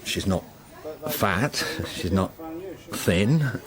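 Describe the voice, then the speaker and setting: An actor playing an evasive, oblivious middle-aged husband in a radio drama, speaking in a halting, literal, deadpan way. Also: male voice, low voice, a crowd in the background, full character voice. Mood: evasive, flustered.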